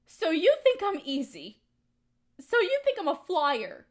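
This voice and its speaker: High pitched young woman voice actress doing emotional audio roleplay, wounded, accusing her friend she loves. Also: wildly swooping pitch, measured pace, heavily acted. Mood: angry, hurt. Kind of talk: dramatic audio roleplay monologue.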